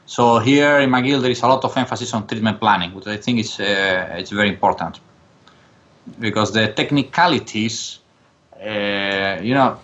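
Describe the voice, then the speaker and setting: Man, bright forceful tone, halting conversational answers, interview guest, slightly dull recording. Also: lowish voice. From born in Spain, later lived in Jordan. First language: Spanish. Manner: halting, searching for words. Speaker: interview guest, dental professor.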